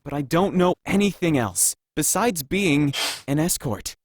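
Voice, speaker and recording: A man's voice: a little high, animated, unhurried, background noise. A man playing a vulnerable, self-doubting young male android escort in a visual novel. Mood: insecure.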